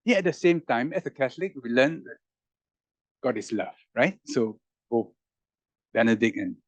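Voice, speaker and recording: A man's voice: medium pitch, expressive, moderate pace, no echo. A man speaking as a roundtable panelist, musing through rhetorical questions with emphatic rises in his voice. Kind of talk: animated reflective public talk.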